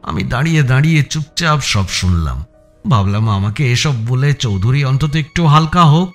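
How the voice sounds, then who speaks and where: A man's voice: medium pitch, lively intonation, normal speed, faint music behind, lightly acted. A man playing an anxious amateur sleuth, narrating his own suspense story in a dramatic, scripted style. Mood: rueful narration. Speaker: first-person narrator.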